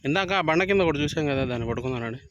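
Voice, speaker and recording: A man's voice: fairly high pitch, expressive, rapid-fire, fairly bright tone, highs cut off. A man giving casual vlog commentary outdoors, bantering as he hosts. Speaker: vlog host filming children fishing. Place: outdoors by water.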